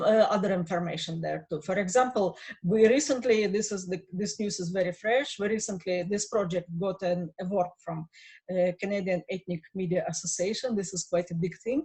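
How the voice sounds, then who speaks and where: Halting woman hosting an online event. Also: medium pitch, warm tone. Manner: halting, many fillers and restarts. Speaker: event host. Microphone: video call.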